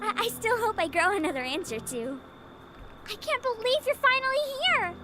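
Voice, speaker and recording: A woman's voice: extremely high pitch, sing-song, moderate pace, heavy background noise. A woman acting a cheerful, competitive young girl in an anime-style visual novel game. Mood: excited. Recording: background music under the voice.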